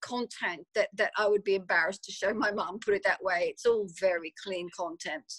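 A woman's voice: mid-range voice, animated, normal speed, a bit bright, slightly rough. Australian lady talking about her book, chatty, lots of ums and repeats. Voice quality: chatty, repeats words often, many fillers. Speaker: author guest. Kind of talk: casual interview answers.

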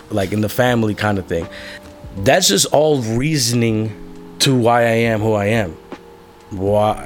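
A young man, a musician, talking candidly about his life in a rambling way, with many fillers and casual swearing. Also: fairly low pitch. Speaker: musician being interviewed. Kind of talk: candid personal conversation.

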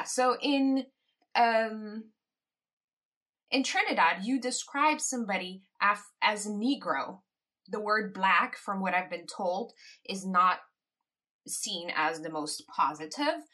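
Slow-talking bright-voiced woman podcaster. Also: medium pitch. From Caribbean. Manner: reflective, laughs between points. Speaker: podcast host. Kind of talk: casual solo podcast monologue.

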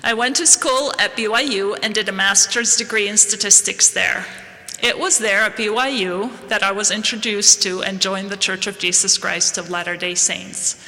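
A woman from Palestine speaks slowly and earnestly, delivering a devotional address. Her voice is bright and clear. It rings out with the long reverb of a large historic hall. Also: mid-range voice. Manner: slow, measured, earnest delivery. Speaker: guest speaker at a church devotional.

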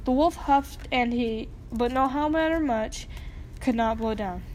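A woman's voice: fairly high pitch, a little slow, a little mellow, a little hiss or hum, low-quality recording. A woman reading a children's story aloud as its narrator, in scripted storytelling style. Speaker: storybook reader.

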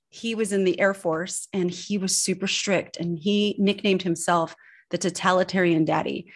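A middle-aged woman who grew up in Northern California, speaking as an interview guest and telling her life story in an earnest, confessional way. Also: medium pitch. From Switzerland, grew up in Northern California. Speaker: guest, personal branding expert.

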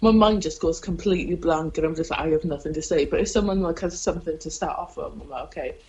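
A woman's voice: sits low, quick pace, darkish tone, highs cut off. A young woman with a Welsh accent, a stand-up comedian chatting in an interview, rambling and self-deprecating with lots of fillers. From South Wales. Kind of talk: casual interview chat.

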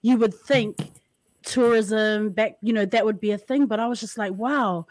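A woman's voice: medium pitch, normal speed, no echo, low-quality recording. A woman hosting a podcast interview, enthusiastic and chatty with lots of fillers. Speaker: podcast host. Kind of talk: casual interview chat.

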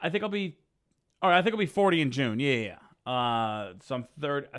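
A man's voice: mid-range voice, very animated, fairly quick, fairly bright tone, clean tone. Chatty thirty-something man hosting a podcast. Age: 30s. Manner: rambling, joking, full of fillers and restarts. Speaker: podcast host. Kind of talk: casual chat.

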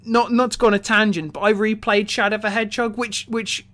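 A man co-hosting a casual film podcast, thinking aloud in a halting way and repeating words as he goes. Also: high-pitched, flat delivery, fairly bright tone. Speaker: podcast co-host. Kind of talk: casual film review discussion.

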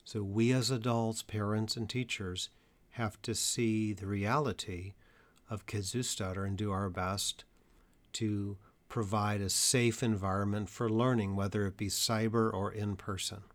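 A man working as a stuttering therapist, giving a measured educational talk to parents and teachers with deliberate, emphatic pauses. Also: on the low side, fairly even intonation.

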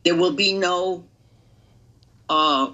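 A woman in her eighties from Romania giving personal testimony as an interview guest, grave, deliberate and halting. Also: on the low side, sharp tone, muffled recording. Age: 80s.

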